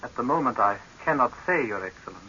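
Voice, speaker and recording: Through the hiss of a dull old radio recording comes a young doctor of science. He is earnest and formal, with a medium-pitched, forceful voice and a guarded manner, in period drama style. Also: animated, fast. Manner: formal, deferential, restrained. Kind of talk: 1930s radio horror drama acting.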